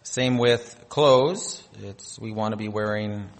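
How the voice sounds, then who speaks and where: A man's voice: fairly low pitch, takes it slowly, big-room sound. A man from North Dakota preaching a sermon, reading scripture aloud with rising emphasis on key phrases. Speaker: pastor.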